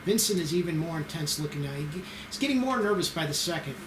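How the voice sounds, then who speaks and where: A man's voice: a little high, expressive, fast. A man narrating scene directions aloud at an amateur screenplay table read. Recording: roomy echo with clear noise.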